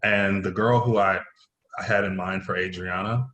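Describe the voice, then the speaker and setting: A man's voice: sits low, fairly even intonation, moderate pace, fairly bright tone. A man chatting casually about his creative work, quoting his own thoughts as he tells the story. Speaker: playwright, director and acting teacher. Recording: roomy, echoey sound.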